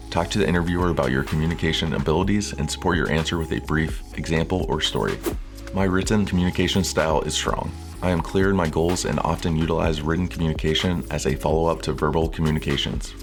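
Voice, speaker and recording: A man presenting scripted instructional narration in a measured, formal, clearly structured way. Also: rumbling low. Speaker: presenter of interview coaching videos.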